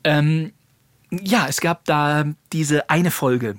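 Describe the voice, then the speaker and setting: A man playing an affable, unhurried voice actor being interviewed in a fiction podcast, with a husky voice and natural delivery. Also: on the high side, dry recording. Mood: relaxed, nostalgic. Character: calm male voice actor from old kids' mystery series.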